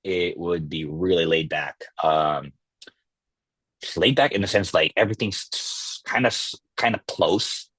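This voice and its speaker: Low-voiced relaxed man, halting. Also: slightly rough, no echo. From Philippines. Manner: relaxed, halting, many fillers and restarts. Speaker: podcast guest, content creator. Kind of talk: casual interview chat.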